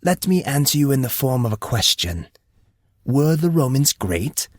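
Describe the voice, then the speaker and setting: A man's voice: mid-range voice, big pitch swings, normal speed, no echo, voice pushed up. Casting: male reader as courteous, reflective male mentor for audiobook; formal and probing, dry close sound. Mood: thoughtful, probing. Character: polite, thoughtful adult man questioning a boy like a mentor. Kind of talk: audiobook character dialogue.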